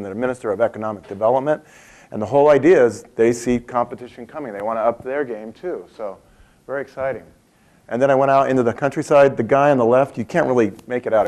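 A man's voice: mid-range voice, darkish tone. A man in his fifties from Montana, giving a chatty keynote to a conference audience in a large meeting room, asking them questions and laughing at his own stories. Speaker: keynote speaker.

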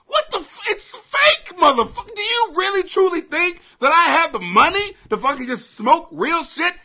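A middle-aged American man hosting a comedy podcast in a brash, profane, excitable rant that often rises to shouting. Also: high-pitched. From Greenwich, Connecticut, United States. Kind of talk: ranting comedy podcast monologue.

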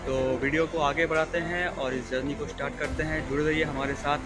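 A man's voice: a little high, fast talker. Young man vlogging a train trip over music. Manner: steady informative delivery full of times and stations. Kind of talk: travel vlog narration. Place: railway platforms and train, outdoors. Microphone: phone camera held at arm's length. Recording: loud background music.

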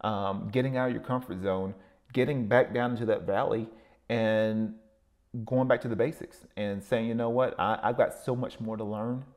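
A man's voice: on the low side, fairly even intonation, moderate pace, darkish tone. A man hosting a podcast, giving a solo motivational talk in a rambling way, with many fillers and repeated words. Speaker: podcast host.

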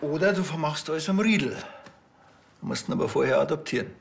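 An actor playing a dry, sardonic rural policeman with a Bavarian accent, speaking in a terse deadpan. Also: fairly high pitch, quick pace, vocal fry, slight background noise.

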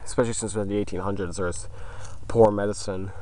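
A man's voice: on the low side, steady tone, quick pace, dark tone. A man giving casual vlog narration outdoors on a handheld camera in the wind. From United States. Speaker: vlogger exploring abandoned places. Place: outdoors in a windy graveyard. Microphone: handheld camera's built-in mic. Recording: noisy windy outdoor camera audio. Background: wind and outdoor noise.

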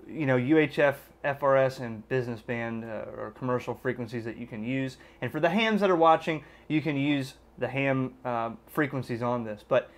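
Man, middle-aged, American accent, medium pitch, casual product demo, halting delivery, explanatory, thinking aloud. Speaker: ham radio gadget reviewer. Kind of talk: casual unscripted product demonstration. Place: indoors at a desk in a room.

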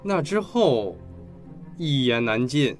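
An actor playing a cynical teenage high school boy in a radio drama, mocking and flippant. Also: very animated, slow pace, faint music behind. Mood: flippant, scornful.

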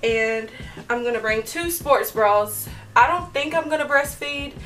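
A heavily pregnant young woman co-hosting a casual couple's vlog from a bedroom, talking in a soft, chatty way. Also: high voice, unhurried, distant mic, faint music behind, rough recording. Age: young adult. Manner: soft-spoken, chatty, with light laughs and fillers. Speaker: vlog co-host. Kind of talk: casual chat. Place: bedroom, indoors.

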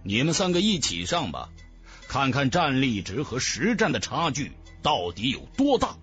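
A man's voice: medium pitch, wildly swooping pitch, moderate pace, a bit bright, faint music behind. A man voicing a defiant, mocking young martial cultivator in a fantasy audiobook, with cool, taunting delivery. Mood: provocative. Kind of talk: dramatic fantasy audiobook dialogue.

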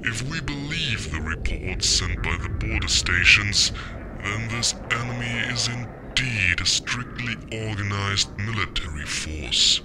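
Sci-fi spymaster, grave, calculating, deliberate delivery, gravelly deep voice. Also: male voice, expressive. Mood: ominous. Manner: measured, deliberate, ominous. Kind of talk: science fiction audio drama acting. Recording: music behind the voice.

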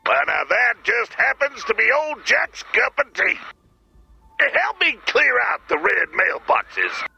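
An actor playing a folksy, wry old man in a game, with a raspy American Western drawl and a hemming, sardonic manner. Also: very high voice, wildly swooping pitch, slow, pushed and bright, muffled recording, full character voice. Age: older. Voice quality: raspy, creaky.

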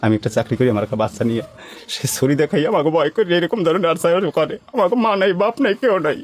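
A man pours out a personal account at a very fast pace. His voice sits a little high and he sounds pleading and aggrieved. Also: slight background noise. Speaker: complainant being interviewed.

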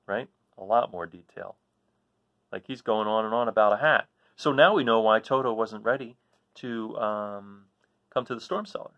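An American man in his forties from New Jersey, chatting casually and haltingly as he explains things to children. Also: on the low side, highs cut off. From New Jersey, United States. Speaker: read-aloud host. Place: small living room, seated in an armchair.

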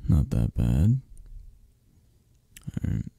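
A man playing a possessive, flirtatious, intimidating mafia boss in an ASMR roleplay, husky and murmuring, with drawn-out teasing pauses. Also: rumbling low, sing-song, mellow, no room sound, studio quality, full character voice. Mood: businesslike, commanding. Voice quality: husky, gravelly, at times breathy.

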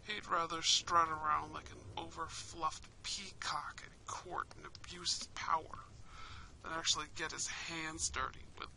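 A woman's voice: low-pitched, measured pace, creaky, in a whisper, pitched below the performer's own voice, exaggerated voice, rough recording. A woman voicing a kind, protective young prince in an intimate roleplay, tender and dramatic. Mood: scornful, assured. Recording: steady rain and fireplace ambience.

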